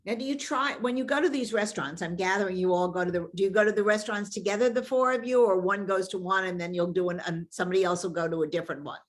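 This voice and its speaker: A woman's voice: mid-range voice, fast talker, few pauses. An older woman co-hosting a podcast, asking curious follow-up questions in a casual interview chat. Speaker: podcast co-host.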